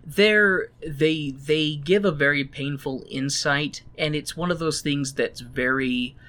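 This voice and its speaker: Male co-host, slightly higher voice, thoughtful casual reviewer. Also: takes it slowly. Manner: thoughtful, animated retelling of scenes. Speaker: podcast co-host reviewing a show. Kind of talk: casual discussion.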